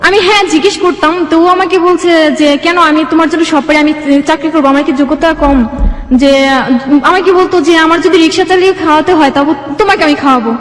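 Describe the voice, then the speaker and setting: A woman's voice: very high-pitched, fast talker. A young Bangladeshi woman telling her own life story as an interview guest. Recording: echoey room with long reverb.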